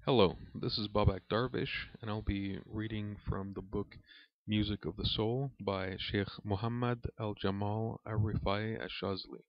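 A man reading aloud from a spiritual book as a lesson, in a measured, reverent manner. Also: lowish voice, steady tone. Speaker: reader and religious teacher. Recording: dull, lo-fi recording in an echoey room.

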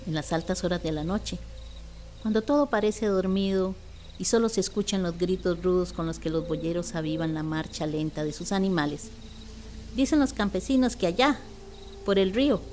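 A woman's voice: on the low side, normal speed, darkish tone. A Costa Rican woman reading a scripted legend as narrator of a radio play. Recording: clear background noise, nature ambience.

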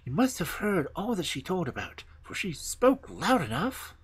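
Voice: medium pitch, sing-song, normal speed, husky, voice pushed up. A storyteller voicing a fierce, playful little robber girl who commands and threatens in a fairy tale. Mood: brisk, bossy.

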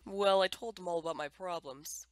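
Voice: mid-range voice, normal speed, natural voice. A performer voicing a tired, dry-humored male skeleton with a casual, hesitant manner and a bitter edge in a comic dub. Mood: deadpan joking. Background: music.

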